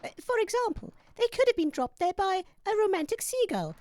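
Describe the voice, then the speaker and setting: A woman's voice: extremely high pitch, very animated, a little fast, darkish tone. A woman playing a prim, combative scientist who argues dismissively in a comedy radio debate. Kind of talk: comedy audio sketch acting.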